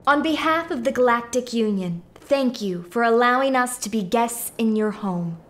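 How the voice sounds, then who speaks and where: Conscientious starship doctor, played by a woman: fairly high pitch, precise, earnest, exasperated straight role in sci-fi radio comedy. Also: animated, normal speed, natural voice.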